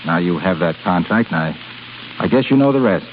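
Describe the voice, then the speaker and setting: An actor playing an earnest, proud, plain-spoken younger man, a little stiff and halting, in 1930s radio style. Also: deep voice, lively intonation. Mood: humbled, sincere. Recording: dull, hissy 1930s radio recording.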